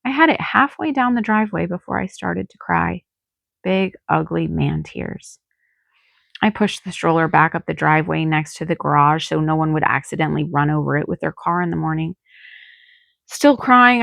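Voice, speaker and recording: Woman doing audiobook narration, expressive storytelling, lowish creaky voice, really dry sound. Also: no echo. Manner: dramatic, acts out dialogue. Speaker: author reading own novel.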